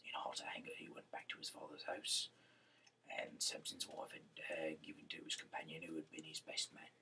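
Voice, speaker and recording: A man reads scripture aloud in a very low, creaky voice with a tense, sharp edge. His delivery is halting and breathy, with stumbles and restarts. He sounds some distance from the microphone. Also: monotone, in a whisper. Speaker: reader, host of a Bible-reading series. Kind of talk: amateur scripture read-aloud.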